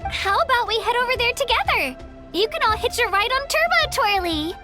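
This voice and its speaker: An upbeat, friendly girl from a video game, pitched very high and swinging widely as she talks. She is bubbly and expressive, with music playing behind her. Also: a little fast. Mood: cheerful. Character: upbeat, friendly young hot springs hostess girl.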